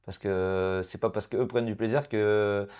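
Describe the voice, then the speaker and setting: Deep-voiced man, hesitant and chatty. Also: steady tone, measured pace, a little mellow, clear, resonant tone. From Portugal. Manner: hesitant, many fillers and restarts, laughs often. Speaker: interview guest. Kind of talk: casual interview conversation.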